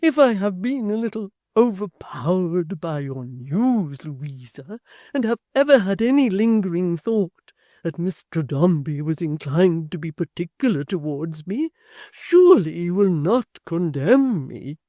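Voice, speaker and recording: A woman reading an audiobook, voicing a timid, tearful spinster whose speech is soft, faltering and pleading. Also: lowish voice, sing-song, measured pace, no echo, studio quality, pitched above the performer's own voice. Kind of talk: audiobook character voice.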